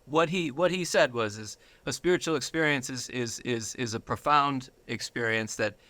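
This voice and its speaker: A man speaking as an interview guest, conversational and halting, often repeating words as he searches for phrasing. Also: medium pitch, sharp tone, dry recording. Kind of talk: conversational personal testimony.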